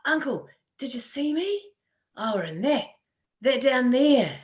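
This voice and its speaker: A woman voicing a dazed, excitable country boy who talks insistently in rustic dialect in a literary story. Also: high-pitched, measured pace. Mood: excited.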